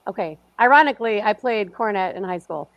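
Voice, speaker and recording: A woman's voice: mid-range voice, lively intonation, fairly quick, no echo, lo-fi sound. A woman writer in casual chat, hesitant and self-deprecating. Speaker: podcast guest, writer.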